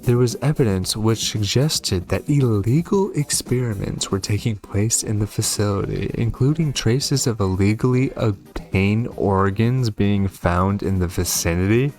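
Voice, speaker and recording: A man with a low voice plays through a game on a recording with faint music behind him. He reads the on-screen text aloud in a dramatic way and drops in short comments as a host. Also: measured pace, few pauses, a little mellow. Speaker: gaming video host.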